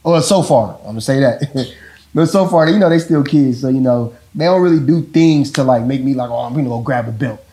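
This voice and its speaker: Deep-voiced relaxed man. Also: fairly quick. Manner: relaxed, rambling, repeats "you know what I'm saying". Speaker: father in a couple interview. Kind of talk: casual talk about parenting.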